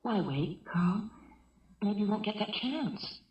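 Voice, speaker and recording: An actress playing a calm, caring middle-aged mother held hostage in a 1970s radio drama, measured, earnest and gently persistent. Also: mid-range voice. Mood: gently coaxing. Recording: dull, echoey vintage radio recording.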